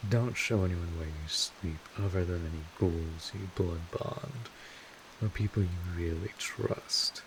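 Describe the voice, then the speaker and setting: A man playing a gruff but caring vampire mentor in an audio roleplay, explaining things in an informal, rambling, mildly exasperated way. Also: low-pitched, a little slow, noisy background. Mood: instructive, matter-of-fact. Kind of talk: scripted audio roleplay addressed to the listener.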